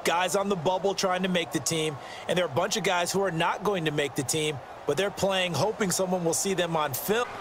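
A man's voice: sits high, brisk, noisy background, lightly acted. A man as an earnest American football commentator calling a game in a video game. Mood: matter-of-fact.

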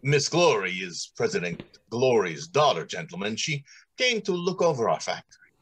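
A man plays a genial, grandiose factory director in an old-time radio play. His husky voice swings widely as he declaims his ideals. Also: lowish voice, very animated, moderate pace, a bit bright, full character voice. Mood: formal, genial.

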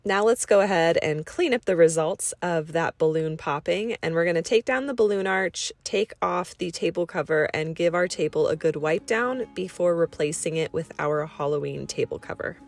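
A woman's voice: lowish voice, moderate pace, hardly any pauses. A woman giving a casual voice-over as a vlog host, explaining cleaning tasks in a chatty way. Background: background music.

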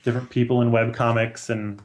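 A man in his thirties chatting casually as a guest, explaining in a halting way with many fillers. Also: mid-range voice, flat delivery, darkish tone. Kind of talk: casual book recommendation chat. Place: small room at home, on a video call.

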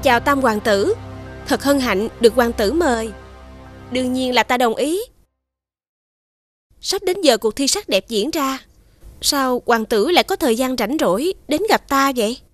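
A woman's voice: sits high, lively intonation, measured pace, pauses often, soft background music. A woman voicing a proud, regal, scheming young princess in a fairy-tale cartoon. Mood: cool, polite.

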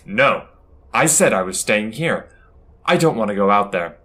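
Reluctant young crewman played by a man: lowish voice, grumpy, curt, sci-fi audio drama. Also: big pitch swings, unhurried, sharp tone, full character voice. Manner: grumbling, curt.

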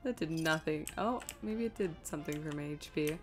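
A woman's voice: sits low, fairly quick, faint music behind. A woman giving casual live commentary as she streams a video game, muttering to herself in an exasperated, self-deprecating way.

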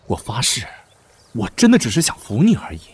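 A man's voice: mid-range voice, wildly swooping pitch, brisk, darkish tone, breathy edge, exaggerated voice. Man playing a hammy, wildly swooping prince. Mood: flustered, earnest. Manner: melodramatic, hammy, stammering when flustered. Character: young lecherous prince, secretly another soul, theatrically grieving and scheming. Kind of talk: Chinese costume audio drama acting.

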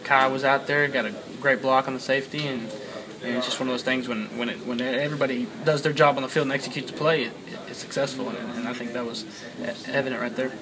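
Young man, quarterback, postgame scrum, halting and repetitive, quick flat voice, crowd chatter behind. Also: mid-range voice, poor audio. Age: young adult. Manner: halting, many fillers and repeated words. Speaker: college quarterback. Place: crowded locker-room interview area, indoors. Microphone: several handheld mics and phones held close.

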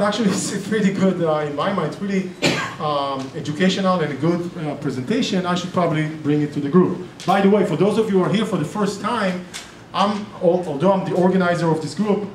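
A man's voice: high-pitched, fairly quick. Israeli man presenting in an echoey room. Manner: chatty, self-interrupting, joking asides. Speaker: real estate investing presenter and group organizer. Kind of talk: live seminar presentation. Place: meeting room with projector. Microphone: far camera. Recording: roomy echo, distant camera, faint noise.

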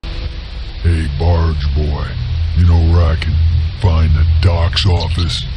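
An extremely deep, gravelly male voice playing a grim frontier bounty hunter in a western game. He drawls slowly in a Western American accent, terse and deadpan. Music plays clearly behind him. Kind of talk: western video game acting.

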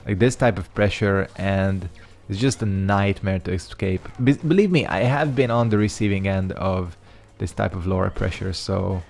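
A man's voice: deep voice, moderate pace, creaky, hardly any pauses, darkish tone, soft background music. Laid-back young man commentating his gameplay. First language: Hungarian. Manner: rambling, loose slang, frequent fillers. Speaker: gaming channel host. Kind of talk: casual commentary over gameplay.

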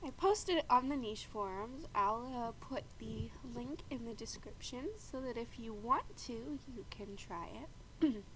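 A woman's voice: fairly high pitch, a little slow, low-quality recording. A woman with a congested, stuffy voice from a cold, casually narrating gameplay and explaining rules as the host. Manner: frequent throat clearing, chatty and explanatory. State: congested after a bad cold. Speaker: video game host. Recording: steady background noise.

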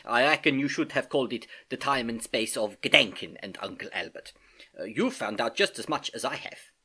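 A storybook reader voicing a relaxed, drowsy uncle with a teasing, hesitant manner. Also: medium pitch, a little fast, slightly rough. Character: drowsy, mischievous, kindly uncle teaching by demonstration.

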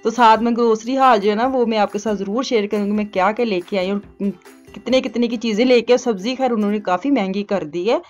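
A woman's voice: fairly high pitch, fast talker, a little mellow, muffled recording, faint music behind. A middle-aged woman, rambling homely voice-over for her shopping vlog. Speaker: vlogger. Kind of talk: chatty voice-over narration. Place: home, recorded as voice-over.

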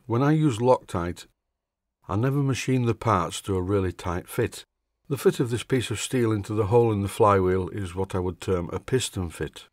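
Older Yorkshire man, low-pitched, measured narrator with dry humour. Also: darkish tone, no echo. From Yorkshire, England. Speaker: hobby machinist presenter. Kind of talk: scripted workshop voice-over.

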